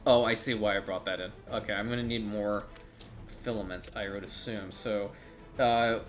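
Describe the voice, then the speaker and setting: A man's voice: fairly low pitch, steady tone, moderate pace, soft background music. A man giving casual, rambling commentary while playing a strategy game, thinking aloud and swearing now and then.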